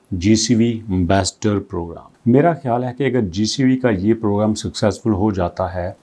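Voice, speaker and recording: A man's voice: lowish voice, dark tone. A man hosting a crypto news channel, explaining updates conversationally and firing off strings of rhetorical questions. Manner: reads English passages aloud, then explains them conversationally. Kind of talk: explanatory commentary.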